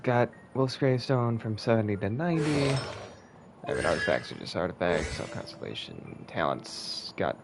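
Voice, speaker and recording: A man with a low voice and a gravelly edge, talking to himself while he plays a game. His pitch swings widely between remarks. Game music plays clearly behind him. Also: big pitch swings, moderate pace. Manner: mumbling, thinking aloud, swears. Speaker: streamer playing a game. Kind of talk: casual gameplay commentary.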